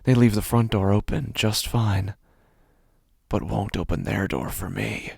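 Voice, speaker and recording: A man acting a first-person horror audio drama as a paranoid, sleepless man full of dread, in a breathy, halting voice that often drops toward a whisper. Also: on the low side, steady tone, a little mellow, no room sound, exaggerated voice. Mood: bitter, shaken.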